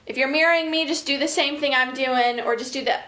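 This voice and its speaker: An American woman leading children's story time as an educator, expressive and chatty, reading and giving instructions directly to young listeners. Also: high-pitched, fairly quick, slight background noise. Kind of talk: animated picture-book reading and casual guided instruction for young children.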